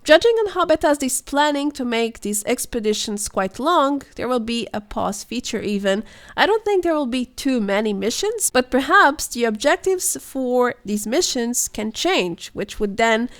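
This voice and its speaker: A young woman, hoarse and sounding unwell, giving scripted voice-over commentary as a gaming news host, speculative and explanatory in a measured way. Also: a little high, big pitch swings. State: hoarse, voice weakened by illness.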